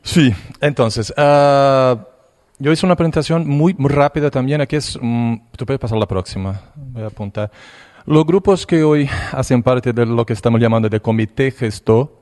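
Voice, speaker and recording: A man's voice: medium pitch, a little mellow. Hesitant Brazilian man presenting. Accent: Brazilian Portuguese. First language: Portuguese. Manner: hesitant, pausing, restarts words. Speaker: conference presenter. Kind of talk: presentation with slides.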